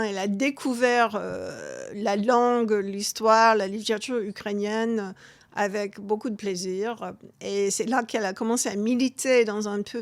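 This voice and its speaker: Femme anglophone, hésitante, son sec. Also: female voice, mid-range voice, no echo. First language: English. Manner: halting, many restarts and fillers. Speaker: guest author. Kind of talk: interview conversation.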